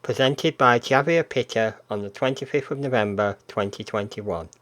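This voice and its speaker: Male announcer, scripted intro, steady, reads out a list. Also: medium pitch. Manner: measured, reading out a list.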